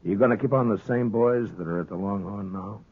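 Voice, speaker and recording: Laconic lawman: deep, husky, steady authority, American accent, narrow vintage broadcast sound. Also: fairly quick. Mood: guarded, dry. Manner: terse, dry, authoritative. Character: stern, laconic frontier town marshal, steady authority. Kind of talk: 1950s radio western acting. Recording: thin old radio broadcast recording.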